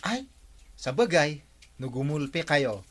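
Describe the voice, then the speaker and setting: Chatty ilocano trike driver, highish bright voice, music underneath, radio comedy. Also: male voice, big pitch swings, slow pace, breathy edge, exaggerated voice.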